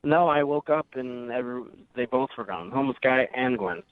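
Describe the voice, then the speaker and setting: A man's voice: medium pitch, expressive, brisk. A man calling a radio show over the phone, telling his story in a casual conversational way. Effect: phone line. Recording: dry, narrow phone line.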